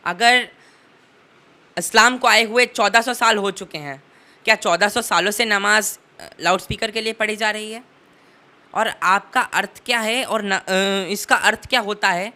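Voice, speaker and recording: A man's voice: high-pitched, a little slow, pushed and bright. A teenage young man giving impassioned political commentary in an interview, emphatic and oratorical, leaning on rhetorical repetition.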